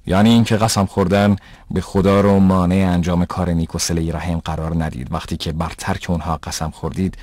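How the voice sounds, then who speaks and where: An actor playing a patient, pious father who explains in a measured, didactic way in a dubbed educational cartoon. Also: low voice, little pitch movement, a little mellow, no room sound, clean studio sound. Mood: patient, instructive. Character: calm pious father, patient moral teacher.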